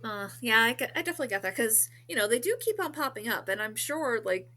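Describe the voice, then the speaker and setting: A woman's voice: on the high side, very animated, fast talker, bright, forceful tone, slight background noise. A woman hosting a podcast interview in a casual, giggly, informal chatting manner.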